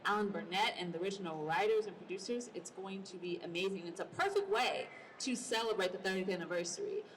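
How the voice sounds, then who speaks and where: A woman's voice: mid-range voice, lively intonation, quick pace. A woman moderating a panel discussion at a convention. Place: large indoor convention panel. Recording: noisy convention hall, distant mic.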